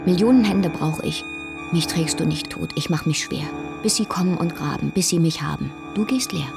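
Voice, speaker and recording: An actress playing a defiant, stubborn speaker of stark verse in a radio play, with music behind. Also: female voice, fairly low pitch, lively intonation, moderate pace, creaky. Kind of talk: dramatic verse over music. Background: musical instrument.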